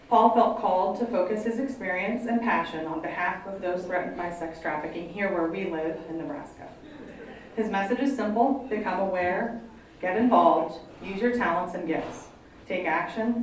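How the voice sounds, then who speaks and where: A woman's voice: on the low side, distant mic, a little hiss or hum. A woman who directs a college counseling program, welcoming an audience and introducing speakers in a friendly, slightly apologetic way. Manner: friendly, apologetic, reads prepared bios. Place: college event room. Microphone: handheld microphone. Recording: very echoey room, voice from afar.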